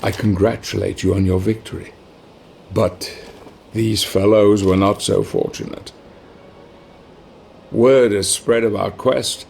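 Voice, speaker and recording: An actor playing a grave, scheming knightly ally who narrates a dark fantasy game in solemn, measured, formal phrases. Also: male voice, on the low side, fairly even intonation, gravelly, slight background noise. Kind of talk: dramatic scripted game narration.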